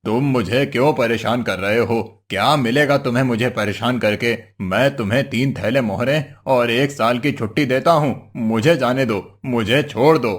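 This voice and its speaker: A man playing a menacing devil master in a cartoon moral story, with a slow, drawn-out, commanding delivery. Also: mid-range voice, lightly acted. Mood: pleading. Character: menacing male devil master, easily outwitted.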